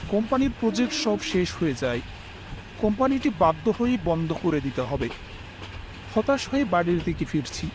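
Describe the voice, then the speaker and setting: A man's voice: sits high, background noise. A man playing a worried, dutiful family man who tells his own misfortune as a horror story, with dramatic, emotive delivery. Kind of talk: first-person horror story narration with acted dialogue.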